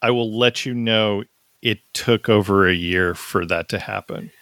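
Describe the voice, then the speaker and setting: A man, a fellow podcast host, chatting casually and easily, with quick affirmations. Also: lowish voice, fairly bright tone, no echo. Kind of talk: casual conversation.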